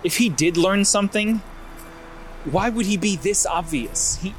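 A wry vigilante detective. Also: male voice, high-pitched, expressive, unhurried, a little hiss or hum. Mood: focused. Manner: dry, wisecracking, dictating terse case logs. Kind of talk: audio drama acting.

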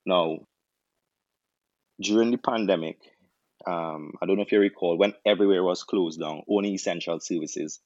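A young man with a Trinidadian accent, a doctor turned chef, telling his story in a relaxed interview with many restarts. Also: low-pitched, normal speed, pauses often, no room sound, lo-fi sound. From Trinidad and Tobago. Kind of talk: casual interview answers.

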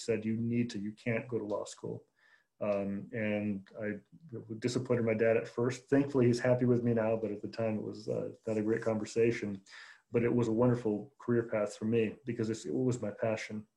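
A man in his forties from Chicago, a journalist answering interview questions in a measured way, with many fillers and restarts. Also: on the low side, mellow, poor audio. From Chicago, United States. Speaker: journalist guest.

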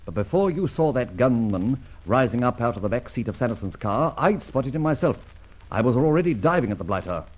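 An actor playing a suave, mocking masked gentleman adventurer in an old radio serial, polished and drily ironic, with courteous menace. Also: medium pitch, sing-song, brisk. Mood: brisk, wry. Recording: muffled old radio drama recording.

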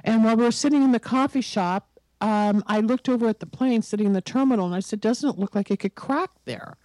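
A woman in her sixties from the United States, a guest telling her story at length in an interview, emphatic and talkative, her voice hoarse from a virus. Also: fairly high pitch, fast talker, warm tone, no room sound, rough recording. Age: 60s. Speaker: guest psychic.

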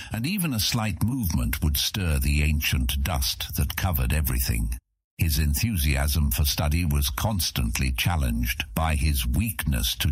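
Deep, husky, expressive male storyteller. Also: no room sound. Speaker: audiobook narrator. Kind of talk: dramatic scripted fantasy narration.

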